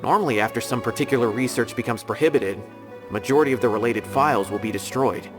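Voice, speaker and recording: Guy doing a polite scholar, mid pitch, calm explainer, game music behind. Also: fast talker, close to an everyday voice. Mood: explaining. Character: courteous male scholar guide. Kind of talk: game cutscene voice acting.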